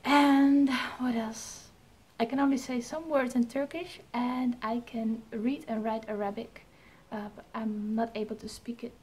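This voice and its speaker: Higher-voiced young Dutch woman vlogging. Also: fairly even intonation, unhurried, a little mellow, slightly rough. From Netherlands, Amsterdam area. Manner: shy, hesitant, laughs at herself. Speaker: vlogger and pilot. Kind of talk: casual chat to camera.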